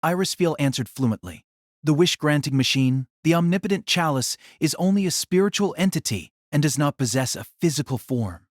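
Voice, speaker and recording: A man's voice: a little high, animated, brisk, dry recording, clean studio sound. A man narrating a light novel in a dramatic, scripted storytelling style.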